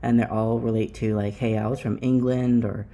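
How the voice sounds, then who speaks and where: A man conducting a casual family interview, speaking haltingly and rephrasing as he goes. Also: fairly low pitch, level tone, gravelly, dark tone.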